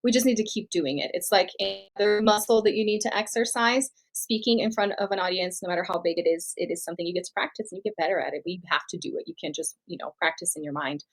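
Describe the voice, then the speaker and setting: A woman's voice: lowish voice, fast, hardly any pauses, poor audio. A woman from Brazil whose first language is Portuguese, a guest speaker explaining confidently and laughing easily in an interview. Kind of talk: interview answers.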